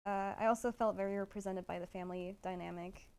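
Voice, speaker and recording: A woman co-hosting a casual livestream chat, commenting appreciatively in a halting way. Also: mid-range voice, fairly even intonation, darkish tone, clean tone.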